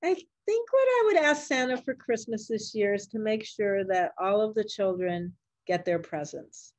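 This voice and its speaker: A woman's voice: mid-range voice, lively intonation, moderate pace, slight background noise. An older woman answering interview questions casually, in simple encouraging words meant for children. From Puerto Rico or Puerto Rican family. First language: Spanish and English. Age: older, a grandmother. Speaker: interviewed doctor.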